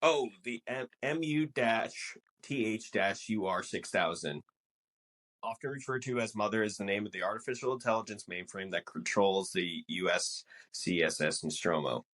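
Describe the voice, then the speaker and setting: Male podcast co-host, fairly low pitch, conversational and explanatory, measured delivery. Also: a bit bright, poor audio. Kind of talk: casual movie discussion.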